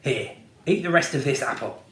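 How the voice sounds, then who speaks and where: A man reading a storybook aloud, voicing an old, hard-of-hearing female witch with a croaking voice. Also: medium pitch, expressive, moderate pace, fairly bright tone, roomy sound, natural voice. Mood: crotchety. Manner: croaking, distracted.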